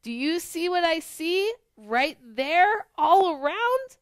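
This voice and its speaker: Woman reading as an eager, high-pitched storybook bear. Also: sing-song, slow pace, no echo, clean studio sound, exaggerated voice. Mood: hushed excitement. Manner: eager, exclaiming invitations to play. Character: playful, excited friendly male storybook bear.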